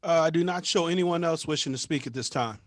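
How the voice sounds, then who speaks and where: A man's voice: a little high, quick pace, no echo, studio quality. A man serving as clerk in a virtual meeting, speaking in formal procedural style.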